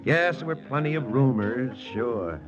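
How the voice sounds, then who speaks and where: An actor playing a wry American army lieutenant hunting spies, in a 1940s radio drama with first-person narration. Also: male voice, medium pitch, animated, a little slow, lightly acted. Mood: wry, confiding. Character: wry American army lieutenant and spy-hunter, quietly lovesick. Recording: muffled old radio recording, music behind.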